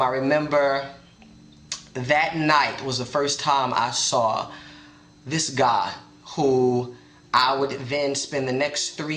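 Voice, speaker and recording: A man's voice: mid-range voice, a little hiss or hum. Man telling a story in a roomy clip. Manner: soft, reflective, many fillers. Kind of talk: reflective personal storytelling.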